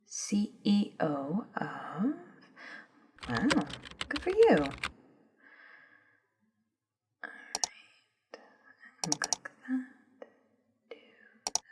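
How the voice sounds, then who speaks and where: A woman playing an eager, polite female office and lab assistant in a soft-spoken ASMR roleplay, with a breathy, murmuring, slightly hesitant delivery. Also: mid-range voice, noisy background, exaggerated voice. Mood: absorbed, murmuring. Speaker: voice actor in scripted ASMR roleplay.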